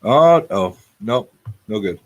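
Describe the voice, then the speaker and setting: A man's voice: fairly low pitch, animated, slow pace, dull audio. A man in his forties hosting a casual live talk show, repeating phrases for emphasis as he talks off the cuff. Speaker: radio show host.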